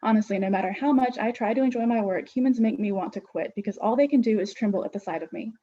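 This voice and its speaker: A woman voicing Death, an ancient female skeleton who lectures with weary scorn and grand airs, her husky voice on a dull lo-fi recording. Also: mid-range voice, fast, mellow, close to an everyday voice. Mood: scornful.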